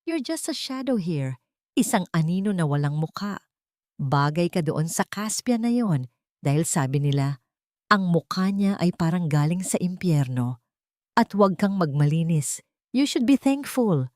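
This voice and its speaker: A woman's voice: fairly low pitch, sing-song, measured pace, a little mellow, dry recording, clean studio sound. A woman with a Filipino accent voicing a vain, spiteful young female cousin with a condescending manner in an audiobook drama. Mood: scornful. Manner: sneering, condescending.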